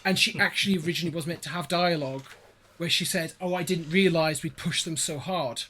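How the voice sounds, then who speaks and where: A man's voice: on the high side, brisk, pushed and bright, husky. A man speaking as a podcast guest in casual conversation, explaining things in detail. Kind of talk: casual chat.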